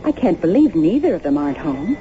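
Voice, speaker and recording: A woman's voice: lowish voice, sing-song, brisk. An American woman acting in an old-time radio drama as an earnest young wife, anxious but curious. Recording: muffled, noisy radio drama broadcast.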